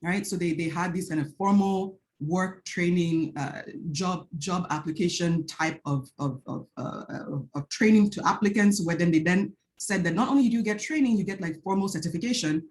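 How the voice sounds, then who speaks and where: A woman's voice: fairly low pitch, normal speed, hardly any pauses. A woman from Nigeria with a Nigerian accent giving an earnest academic panel talk on a video call. She often checks in with "right?" and restarts her phrases as she thinks. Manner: earnest, frequent "right?" tag questions and restarts. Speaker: panel speaker, researcher.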